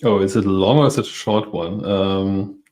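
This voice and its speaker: A man's voice: deep voice, fairly even intonation, moderate pace, a little mellow. A man from Europe, a native German speaker, talking as a guest in a casual interview, hesitant with self-deprecating laughs.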